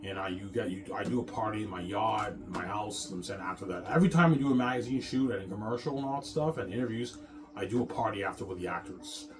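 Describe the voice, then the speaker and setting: Man, lowish voice, casual rambling monologue, boastful, repetitive, prominent background music. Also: fast talker. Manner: repetitive, circling back over phrases. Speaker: self-styled film maker talking about his movie projects.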